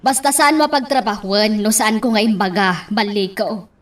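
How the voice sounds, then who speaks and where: A woman's voice: mid-range voice, moderate pace, lightly acted. A woman acting an insistent, nagging middle-aged mother in an Ilocano radio drama. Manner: insistent, questioning. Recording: distant microphone with faint background noise.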